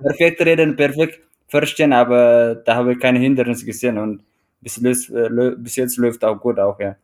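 A man's voice: mid-range voice, fairly even intonation, normal speed, a little mellow. A man from Eritrea with an Eritrean accent, a runner interviewed in a casual chat, speaking hesitantly with many restarts as he searches for words. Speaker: guest, mountain runner.

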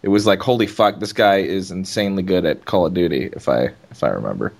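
A man with a low voice hosts a casual podcast. He asks his questions in a loose, meandering way, full of fillers and the odd curse. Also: quick pace, creaky, a little mellow. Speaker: podcast host.

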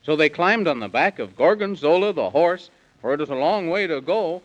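High-pitched, animated male radio storyteller. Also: moderate pace. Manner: theatrical, singsong storybook delivery. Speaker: narrator. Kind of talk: rhyming scripted storytelling, 1930s children's radio. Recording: dull, band-limited 1930s radio recording.

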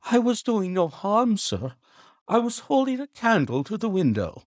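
A frightened male butler, put on by a male reader in a high, strained voice that climbs far above the teller's own. He pleads and dodges, his lines loud and tight with fear. An audiobook figure voiced in a dry, close sound. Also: wildly swooping pitch, a little slow, no echo, exaggerated voice.

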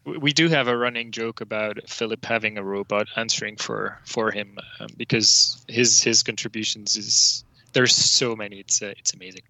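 A man from Belgium speaks English with a Flemish accent, his first language showing through. His voice is gravelly and very bright. He explains things in a relaxed, unhurried way, with plenty of fillers, as a guest in a dry, close recording. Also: lowish voice, no echo, rough recording. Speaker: guest, network solutions architect. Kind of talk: casual podcast interview.

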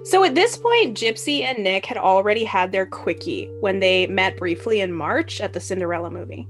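A woman's voice: medium pitch, lively intonation, moderate pace, soft background music. A woman narrating as podcast host, giving dry, blunt, sarcastic commentary on a dramatic reading.

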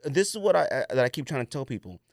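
A man's voice: mid-range voice, big pitch swings, racing, gravelly, no room sound, studio quality. A man hosting a podcast in casual, slangy chat, blunt and emphatic, often repeating his phrases for effect. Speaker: podcast host.

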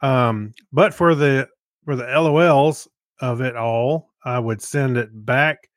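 A man co-hosting a podcast in casual chat, speaking in a halting, easygoing way. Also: mid-range voice, no room sound, studio quality. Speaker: podcast co-host. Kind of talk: casual podcast chat, reading listener feedback.

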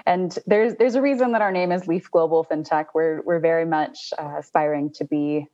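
Low-voiced woman, dull lo-fi sound. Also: fairly even intonation, warm tone. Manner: measured, explanatory, with small restarts. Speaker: startup co-founder. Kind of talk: interview answers.

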